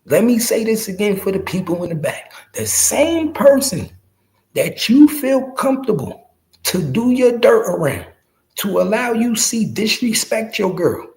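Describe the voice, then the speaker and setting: A man's voice: high-pitched, big pitch swings, unhurried, a little mellow, low-quality recording. A man in his early forties giving casual, slangy street commentary to his viewers, emphatic and profane, repeating phrases to drive them home. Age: early 40s. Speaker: podcast host.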